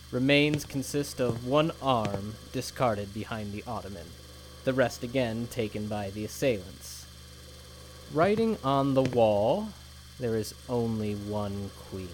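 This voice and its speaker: An actor playing a cold, bureaucratic male investigator who dictates his report in a flat, clipped official manner, with a steady hum behind him. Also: medium pitch, unhurried, close to an everyday voice. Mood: dictating. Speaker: government agent. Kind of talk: audio drama acting.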